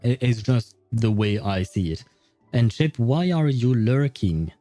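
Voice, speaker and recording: A man with a mellow, relaxed voice talks to his livestream viewers. He rambles in a chatty, teasing way, recorded close and dry with faint music behind him. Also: medium pitch, measured pace, no room sound. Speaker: livestream host. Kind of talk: casual livestream sign-off chat with viewers.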